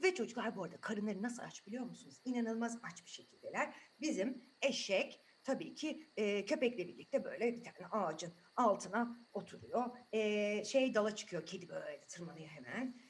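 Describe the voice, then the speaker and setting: Animated woman telling children's fairy tales. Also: medium pitch, brisk, few pauses, a little hiss or hum. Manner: asks the listeners questions, repeats phrases for suspense. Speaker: children's storyteller. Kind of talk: interactive fairy tale narration for children.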